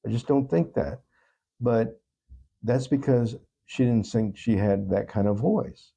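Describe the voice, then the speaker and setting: A man speaking as an interview guest, giving earnest, thoughtful answers in a halting, reflective way. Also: medium pitch, vocal fry, mellow, breathy edge. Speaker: talk show guest.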